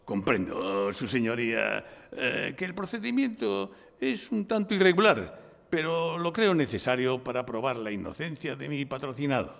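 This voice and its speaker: A man from Spain playing a shrewd, composed defense lawyer who narrates his own case deliberately in a live audio drama. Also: medium pitch, sing-song, creaky, fairly bright tone. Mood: formal, persuasive. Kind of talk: courtroom audio drama with hardboiled first-person narration. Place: recorded live before an audience in a library. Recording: live stage recording in reverberant hall.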